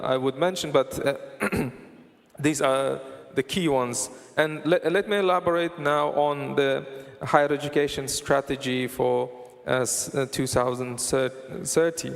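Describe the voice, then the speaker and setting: A man giving a formal conference presentation as an official, speaking haltingly with many hesitations and broken-off words, recorded in a large hall. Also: mid-range voice, gravelly. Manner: halting, hesitant delivery with very frequent filled pauses and broken-off words. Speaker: government or university official presenting at a forum. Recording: reverberant hall sound through PA.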